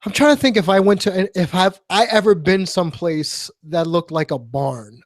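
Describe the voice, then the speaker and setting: A man's voice: high voice, moderate pace, no echo, studio quality. An American man hosting a podcast, chatting casually in a wry, playful, teasing way. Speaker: podcast host. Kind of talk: casual chat, teasing and prompting.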